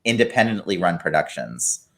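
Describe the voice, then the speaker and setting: A man hosts an interview over a video call, his pitch moving a lot. He asks his questions haltingly, with many fillers along the way. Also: sing-song, gravelly, fairly bright tone. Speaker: host.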